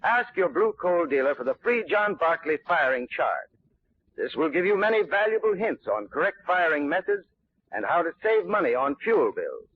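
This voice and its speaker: A man's voice: fairly high pitch, husky. A man giving a scripted 1940s radio commercial as a folksy, instructive expert. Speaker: advertising spokesman, heating expert. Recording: tinny 1940s radio recording.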